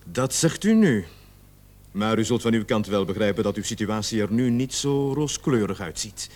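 A stern police sergeant in a radio play. He speaks formally and with authority, weighing his words, and his pitch swings widely as he questions and warns. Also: animated, darkish tone. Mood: stern, measured. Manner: authoritative, measured, formal interrogator. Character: stern police sergeant leading a murder investigation.

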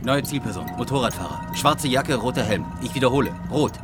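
An actor playing a matter-of-fact, precise police surveillance technician in a German radio crime drama. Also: male voice, mid-range voice, lively intonation, fairly quick, over loud music, close to an everyday voice. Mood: alert, urgent.

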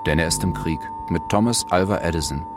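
A man's voice: sits low, brisk, heavy background noise. A man reading scripted narration as a narrator in an audio drama. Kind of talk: scripted narration over music. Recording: loud music under the voice. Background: prominent music.

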